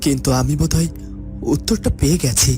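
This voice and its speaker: A man voicing a calm, noble young prince in a fairy-tale cartoon, explaining things tenderly and in measured phrases. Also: a little high, close to an everyday voice. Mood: thoughtful. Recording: loud music under the voice.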